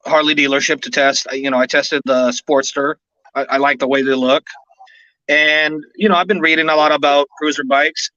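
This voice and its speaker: A man's voice: on the high side, sharp tone, no echo. A man in his thirties, a co-host of a casual chat show, talkative and curious, with loose, wandering phrasing. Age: 30s. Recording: dull lo-fi audio.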